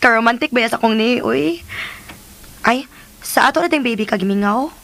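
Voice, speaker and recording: Sweet teasing young wife for a radio play, highish voice. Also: female voice, normal speed, vocal fry, a bit bright. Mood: playful, affectionate. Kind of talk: radio drama acting.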